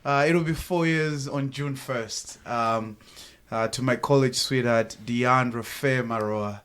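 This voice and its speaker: Zimbabwean guy in his 30s, earnest and thankful, mid pitch, podcast guest chatting. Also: takes it slowly, a bit bright, clean tone. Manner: earnest, grateful, joking at times. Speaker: sales team guest. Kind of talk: casual podcast interview.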